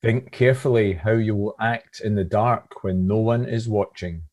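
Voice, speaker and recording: A man's voice: on the low side, fairly even intonation, normal speed, darkish tone. A man reading a scripted devotional reflection as one of a church's readers.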